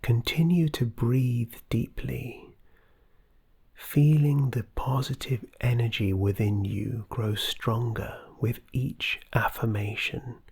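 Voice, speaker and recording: A meditation guide reading a scripted guided practice in a soft, soothing, breathy, husky voice that often nears a whisper. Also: medium pitch, steady tone, slow pace.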